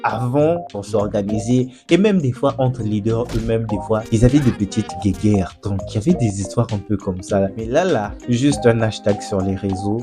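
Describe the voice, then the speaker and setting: A man hosting a casual commentary video, chatting with wry asides and light laughs. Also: fairly low pitch. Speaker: video essayist host. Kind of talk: casual commentary over news clips. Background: background music.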